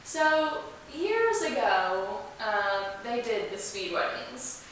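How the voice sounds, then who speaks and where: A woman with a higher voice talks casually as an interview guest, stressing points with enthusiasm. The room is strongly reverberant and she is picked up from a distance, with faint hiss. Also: very animated, slow, fairly bright tone. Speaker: interview guest, museum representative. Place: indoors in an old museum building.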